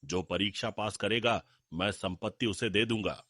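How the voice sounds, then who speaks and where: A man voicing an elderly landlord father in a story cartoon, husky, measured and commanding. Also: on the low side, pushed and bright, dry recording. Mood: instructing.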